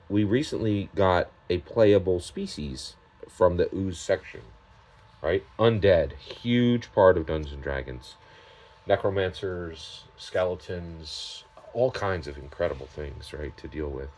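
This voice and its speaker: A man giving unscripted, opinionated commentary as a tabletop gaming vlogger, emphatic and halting, often tagging his points with a questioning word. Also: sits low, darkish tone. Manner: emphatic, halting delivery with repeated tag questions.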